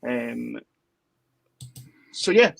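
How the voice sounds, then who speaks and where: A man's voice: mid-range voice, sing-song, drawn-out words, creaky, a bit bright, no echo. A Scottish man co-hosting a music show, chatting casually and explaining things in informal, hesitant phrases. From Dundee, Scotland. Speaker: co-host and tune composer. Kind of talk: casual live chat.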